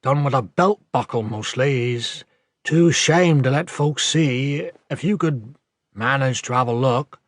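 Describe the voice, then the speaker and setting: A man voicing a gruff, caring old countryman with a rural English accent, terse and plain-spoken in an audiobook. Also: medium pitch, unhurried, no echo, clean studio sound, pitched above the performer's own voice, close to an everyday voice. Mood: plain, concerned. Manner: terse, rustic dialect phrasing.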